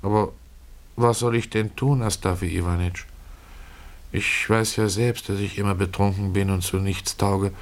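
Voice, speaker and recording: A man voicing a meek, pitiful drunkard who pleads and evades in a halting, plaintive way in a radio drama. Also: lowish voice, fairly even intonation, close to an everyday voice. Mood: self-pitying.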